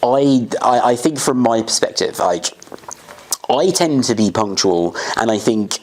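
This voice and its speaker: A man's voice: medium pitch, expressive, measured pace, slight background noise, low-quality recording. A man hosting a casual interview, talking in rambling, filler-heavy sentences. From United Kingdom. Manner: rambling, many fillers and restarts. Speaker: podcast host.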